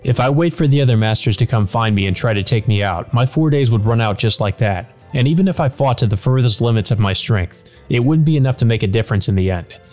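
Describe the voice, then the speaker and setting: A man's voice: mid-range voice, fast, few pauses, darkish tone, soft background music, lightly acted. A man reading the part of a proud, frustrated mage in a visual novel, grave and brooding. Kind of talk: visual novel first-person monologue read aloud.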